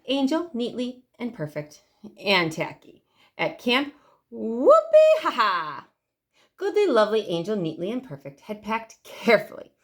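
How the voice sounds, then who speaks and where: A woman reading a picture book aloud to children expressively, stretching key words for emphasis. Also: mid-range voice. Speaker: library storytime reader.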